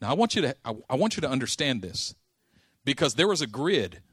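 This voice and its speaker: A man preaching a sermon, telling a story with lively acted-out dialogue and casual slang. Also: medium pitch, fairly quick, breathy edge, no echo, clean studio sound. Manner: animated storytelling, acting out dialogue.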